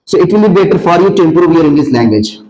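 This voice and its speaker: Earnest high-voiced young Indian man. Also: animated, fast talker, a little mellow, low-quality recording. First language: Hindi. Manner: earnest advice with many fillers and restarts. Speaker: senior student. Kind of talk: answering audience questions. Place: classroom.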